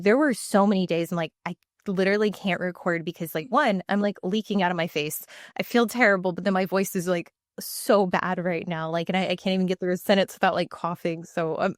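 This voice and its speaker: A woman's voice: fairly low pitch, steady tone, brisk, dry recording, clean studio sound. A woman hosting a podcast and coaching athletes, chatty and full of fillers, still congested after weeks of being sick. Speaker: podcast host, bodybuilding coach. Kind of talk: casual podcast conversation.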